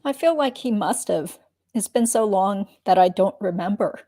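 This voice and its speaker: A woman's voice: mid-range voice, expressive, moderate pace, warm tone, no room sound, clean studio sound, close to an everyday voice. A woman reading the part of a guarded, noncommittal witness giving careful answers in a dramatic reading of a deposition. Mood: hesitant, recalling. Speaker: reader playing a deposed witness.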